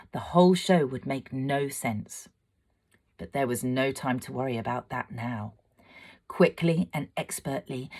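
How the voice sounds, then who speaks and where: Low-voiced woman reading a storybook aloud. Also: breathy edge. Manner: expressive, dramatic, swelling for excitement and hushing for suspense. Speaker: amateur storyteller. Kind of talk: storybook narration, read aloud.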